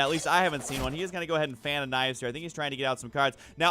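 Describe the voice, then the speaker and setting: Male gaming host, fairly high-pitched, loose and self-deprecating, talks through each play as it comes. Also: fairly bright tone. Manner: self-deprecating, rambling, thinking aloud. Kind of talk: casual game commentary over a card game.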